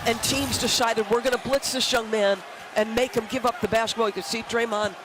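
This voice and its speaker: A man's voice: very high voice, steady tone, brisk. A man giving live analysis as a basketball commentator. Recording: noisy arena broadcast with crowd. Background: crowd and arena noise.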